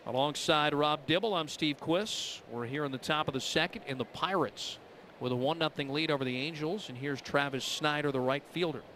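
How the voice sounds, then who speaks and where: A man's voice: a little high, moderate pace, fairly bright tone, slight background noise. A man calling a baseball game live as the play-by-play announcer.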